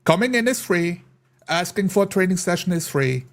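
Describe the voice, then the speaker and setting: A man with a somewhat high voice chats casually in a group. He explains his view informally, in short phrases that he often repeats. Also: lively intonation, moderate pace.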